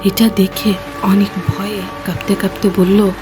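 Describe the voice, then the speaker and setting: Storyteller narrating over loud music. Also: mid-range voice, level tone, unhurried. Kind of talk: scripted horror story narration. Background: loud background music.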